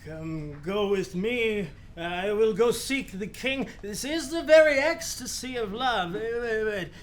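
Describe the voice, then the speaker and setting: An actor playing a fussy, long-winded old royal counselor in a Shakespearean radio play, rambling and interrupting himself theatrically. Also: male voice, sits high, expressive, measured pace. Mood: agitated, concerned.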